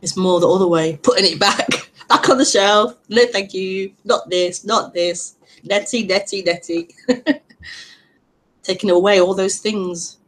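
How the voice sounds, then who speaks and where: Slow-spoken woman with a stutter. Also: medium pitch. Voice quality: stutter with frequent repetitions and blocks. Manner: gentle, reflective, laughs lightly at herself. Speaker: non-duality teacher hosting an online meeting. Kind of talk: spiritual talk. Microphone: online call broadcast.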